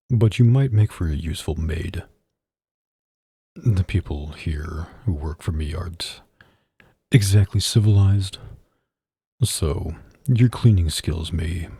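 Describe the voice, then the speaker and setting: Guy doing a very husky low mafia boss, cold and sarcastic, bossy, asmr roleplay right up on the mic. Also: mellow, no room sound, full character voice. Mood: cool, appraising. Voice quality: deep, husky. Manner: deliberate, commanding, sarcastic. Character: domineering, sardonic mafia boss, adult man, coldly menacing. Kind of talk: intimate ASMR roleplay acting. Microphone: close studio microphone.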